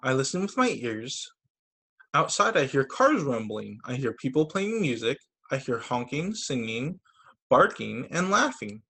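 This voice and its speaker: A man reading children's picture books aloud as a story time teacher, in an expressive, sing-song storytelling manner with short asides, over a video call. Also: mid-range voice, measured pace, breathy edge.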